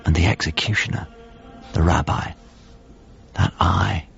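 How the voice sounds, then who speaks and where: An actor playing a thoughtful painter's model, narrating a radio drama in measured, descriptive phrases. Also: male voice, sits low, steady tone, vocal fry, exaggerated voice. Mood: reflective. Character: thoughtful male painter's model grieving a lost friend. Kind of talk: radio drama, reflective first-person narration. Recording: muffled audio with music underneath. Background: music.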